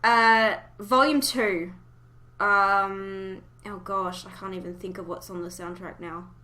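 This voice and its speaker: A woman hosts a relaxed fan podcast in an informal, chatty way. Her voice is very bright and crisp-edged, sitting at an ordinary female pitch. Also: takes it slowly. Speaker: podcast host. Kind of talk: casual fan podcast chat.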